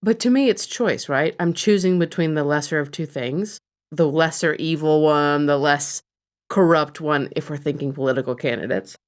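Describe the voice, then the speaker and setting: A woman's voice: low-pitched, normal speed. A woman co-hosting a podcast, chatting candidly and with a self-deprecating edge. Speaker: podcast co-host. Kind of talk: casual chat.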